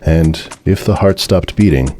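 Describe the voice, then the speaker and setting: A man's voice: low-pitched, measured pace, mellow, slight background noise. A man reading a scripted story as narrator, in a dramatic storytelling style.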